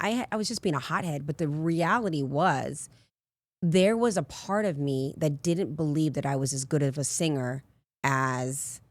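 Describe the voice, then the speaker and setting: A raspy woman in her forties, a life coach telling candid personal stories in a casual interview. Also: deep voice, dry recording. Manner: candid, rambling storyteller with frequent asides. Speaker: life coach, podcast guest.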